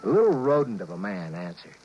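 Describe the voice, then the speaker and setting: A man's voice: sits low, big pitch swings, fast, darkish tone, faint music behind. An actor playing a harried, wry husband and businessman in a 1950s radio drama. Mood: wry narration. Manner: flustered, wry. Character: harried, exasperated husband and businessman narrating his woes. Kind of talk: 1950s radio drama acting and first-person narration. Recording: muffled vintage 1950s radio recording.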